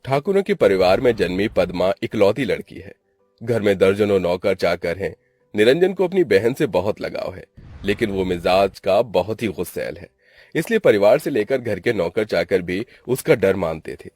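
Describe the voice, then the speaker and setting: Animated male story narrator, lively pitch. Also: normal speed, no echo, faint music behind. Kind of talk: dramatic scripted story narration.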